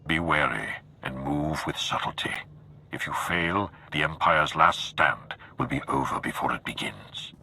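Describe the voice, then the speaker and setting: An actor playing a grave, menacing dark lord in a video game, solemn and commanding, with a hard-edged rasp. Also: male voice, extremely low pitch, unhurried, exaggerated voice. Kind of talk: video game cutscene acting.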